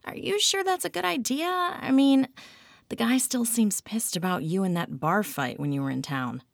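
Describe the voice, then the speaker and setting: A woman's voice: a little high, animated, moderate pace, vocal fry, dry recording, studio quality, voice pushed up. A woman voicing an anxious pregnant female TV producer who speaks in a placating, hesitant way.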